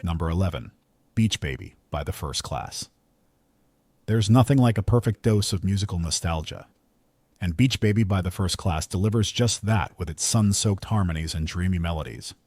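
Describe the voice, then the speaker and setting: A man's voice: sits low, normal speed, darkish tone, no room sound. A man reading a scripted music countdown as narrator, with upbeat, promotional phrasing.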